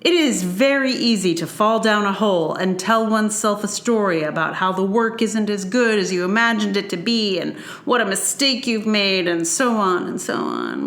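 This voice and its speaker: A woman podcast host reading her own personal essay aloud with measured, deliberate phrasing. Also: mid-range voice, a bit bright, roomy sound.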